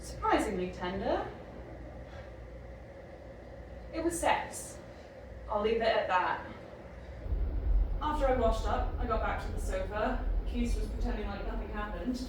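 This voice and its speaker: A very echoey, noisy recording carries an actress as an irreverent young woman in a sci-fi audio drama. Her voice sits a little high, and she speaks frankly, with casual, sardonic confidence. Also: normal speed, close to an everyday voice. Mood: frank, reflective. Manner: casual, wry, confessional. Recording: very echoey, distant, clearly noisy.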